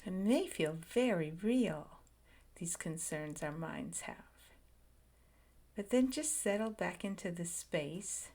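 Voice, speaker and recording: Slow, soft-spoken woman guiding meditation. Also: on the low side, expressive. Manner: slow, soft and soothing, drifting into whispers; pauses often between short phrases. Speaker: meditation guide. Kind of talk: guided meditation.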